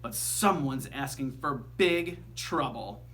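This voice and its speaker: A man's voice: mid-range voice, lively intonation, slow pace, a bit bright, a little hiss or hum, voice pushed down. A man voicing a stern, irritated female schoolteacher who scolds her class sharply. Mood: warning.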